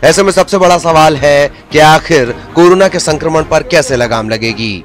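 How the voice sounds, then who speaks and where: A man's voice: fairly high pitch, moderate pace. A man reading scripted news reports as a broadcast voice-over. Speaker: news narrator. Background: background music.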